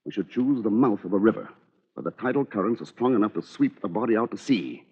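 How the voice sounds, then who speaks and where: An actor playing a scheming, precise lawyer in an old radio drama, with coolly confiding delivery. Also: male voice, on the low side, animated, fast, breathy edge. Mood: brisk, calculating. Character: scheming, coolly calculating male lawyer narrating his crime. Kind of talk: 1940s radio drama acting, first-person narration. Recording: dull, lo-fi old radio recording.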